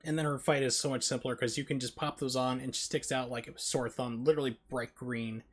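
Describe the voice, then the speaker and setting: A man's voice: medium pitch, steady tone, brisk, slightly rough. A man streaming a video game with casual, chatty live commentary, explaining mechanics in asides.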